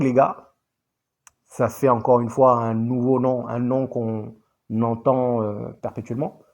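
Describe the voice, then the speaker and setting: A man casually talking football transfers to his viewers, lively and chatty, often calling out to them directly. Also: medium pitch. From grew up in Saudi Arabia. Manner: animated, addresses viewers as guys. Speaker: football vlogger.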